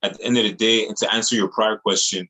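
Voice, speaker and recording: A man with a deep, low-pitched voice. He talks like an artist in a relaxed interview, earnest and slangy, circling back over his phrases as he makes a point. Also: fast. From Montreal, Canada. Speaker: music artist being interviewed. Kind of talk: casual interview chat.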